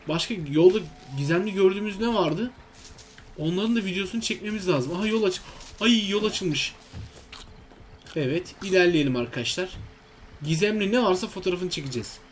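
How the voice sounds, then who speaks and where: High-voiced man commentating on a video game. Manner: exclamatory, talks to himself and to viewers. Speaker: gaming video host.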